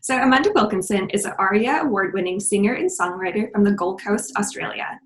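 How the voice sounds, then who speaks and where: An upbeat woman hosts a podcast at a mid pitch. She reads out a guest introduction and moves into easy chat, with a roomy echo around her voice. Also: fairly quick. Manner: upbeat, laughs often. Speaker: podcast host. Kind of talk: reading a guest introduction, then casual interview chat.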